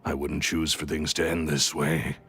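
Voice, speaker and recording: A man's voice: rumbling low, flat delivery, normal speed, a bit bright. An actor playing a resentful male magician's apprentice turned thief, confessing in a brooding, bitter way in a video game. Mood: resigned. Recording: faint music behind.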